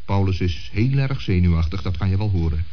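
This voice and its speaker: A man's voice: deep voice, animated, brisk. A man narrating a children's radio play, telling the story directly to young listeners. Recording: muffled old radio recording.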